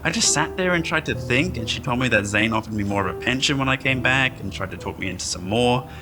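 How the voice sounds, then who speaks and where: A man acts out a weary, anxious man keeping a personal log in a sci-fi audio drama. He speaks in a halting, understated way at a middling pitch, with music clearly playing behind him. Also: animated, close to an everyday voice. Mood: subdued, uneasy. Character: weary, anxious man awakened from centuries of cryosleep. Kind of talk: audio drama journal monologue.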